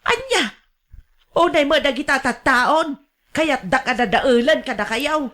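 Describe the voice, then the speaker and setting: A woman acts as an older mother with a somewhat high voice. She is quarrelsome and aggrieved, always defending herself and faulting her relatives, in the style of radio drama. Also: animated, unhurried, fairly bright tone. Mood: indignant. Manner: querulous, defensive.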